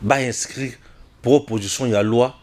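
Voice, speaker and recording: A man's voice: medium pitch, fairly even intonation, a little slow, darkish tone, slight background noise. A man with a Congolese accent gives informal political commentary, emphatic and repetitive, often asking rhetorical questions and switching between two languages. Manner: emphatic, repeats phrases, asks rhetorical questions.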